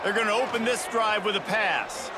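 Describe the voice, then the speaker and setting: A man playing a video game's football play-by-play announcer, calling each snap in brisk, descriptive lines that lift on big plays. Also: sits high, heavy background noise, natural voice. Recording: game audio over loud crowd and music.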